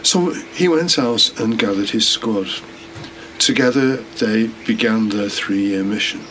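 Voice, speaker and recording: A man's voice: fairly low pitch, a little slow, a bit bright, poor audio. A man delivering a scripted, sermon-like religious reflection as a podcast host. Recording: clear background noise.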